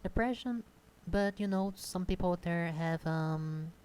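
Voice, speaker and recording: A shy young woman with a Brazilian Portuguese accent talks off the cuff at her home computer. Her voice sits slightly low and soft-toned, and her speech is halting, full of pauses and filler sounds. The recording is close-miked at a desk. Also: clear, resonant tone. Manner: hesitant, many pauses and fillers. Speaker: content creator. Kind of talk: unscripted casual monologue. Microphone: close desk microphone.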